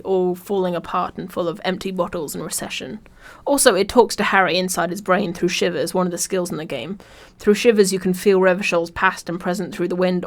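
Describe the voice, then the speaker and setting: A woman reading a scripted video essay in an informal way, with wry, dry asides. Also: fairly low pitch. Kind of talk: scripted video essay narration with casual humour.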